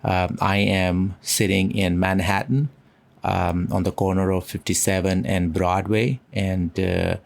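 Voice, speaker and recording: A man hosting a podcast, asking questions haltingly with many fillers. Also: sits low, flat delivery, gravelly. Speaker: podcast host. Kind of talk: casual interview. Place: Manhattan.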